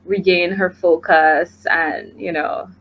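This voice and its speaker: A woman's voice: on the low side, fairly even intonation, slow pace, a bit bright. A woman with a Guyanese accent chatting casually as an interview guest over a video call. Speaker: interview guest, makeup artist. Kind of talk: casual chat. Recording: muffled, noisy video call audio.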